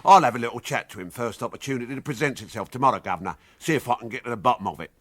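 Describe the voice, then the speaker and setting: A man acts a chummy, obliging jail worker in a British radio comedy. His mid-pitched voice is bright and closely recorded, with no room around it. He speaks deferentially but matily, in a British accent. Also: rapid-fire, slightly rough, no room sound. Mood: chummy, sly. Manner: matey, deferential. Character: chummy, obliging male jail worker who quotes Shakespeare.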